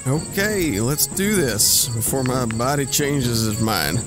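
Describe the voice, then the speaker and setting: A man's voice: medium pitch, animated, slow, music in the background. An actor playing an easygoing, teasing brother and ladies' man in an audio drama. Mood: grumbling.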